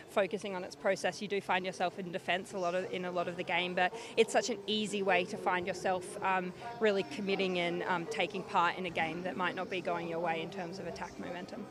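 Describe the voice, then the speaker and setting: A woman with an Australian accent, a rugby player giving a loud, upbeat and jokey pitchside interview. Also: little pitch movement, fairly quick, hardly any pauses. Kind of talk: post-match-style sports interview. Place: outdoors at a rugby stadium. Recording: crowd noise behind.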